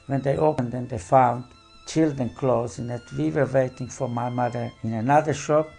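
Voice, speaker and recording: Older man, gravelly measured testimony. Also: medium pitch, fairly even intonation, mellow, soft background music. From Slovakia. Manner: measured, halting recollection with pauses. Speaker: Holocaust survivor interviewee. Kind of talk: documentary testimony.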